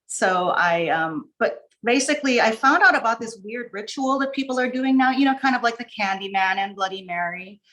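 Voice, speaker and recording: A woman's voice: fairly high pitch, lively intonation, normal speed, few pauses, pushed and bright. A woman chatting informally as a guest writer, explaining things in a rambling way with asides. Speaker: short story writer, podcast guest. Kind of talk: casual chat, explaining. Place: online video call.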